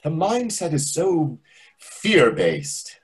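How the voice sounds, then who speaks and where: Very husky male voice, actor as needling pompous waiter, animated pitch, condescending, stage comedy reading. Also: extremely slow, full character voice. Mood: lecturing. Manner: theatrical, condescending, lecturing. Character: pompous, needling, over-familiar Greek restaurant waiter. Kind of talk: readers theatre comedy acting. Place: indoors on a video call. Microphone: video call microphone.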